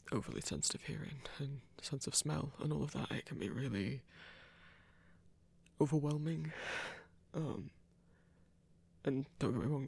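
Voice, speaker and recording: A man playing an awkward, protective werewolf hunter who lives rough, speaking hesitantly and breathily in an intimate audio roleplay. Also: mid-range voice, exaggerated voice. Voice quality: breathy, husky. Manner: hesitant, self-effacing, stammering.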